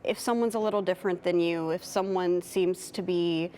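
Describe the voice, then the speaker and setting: A woman's voice: fairly low pitch, steady tone, moderate pace, mellow, smooth. A woman answering interview questions as a theater cast member, conversational and somewhat hesitant as she thinks aloud.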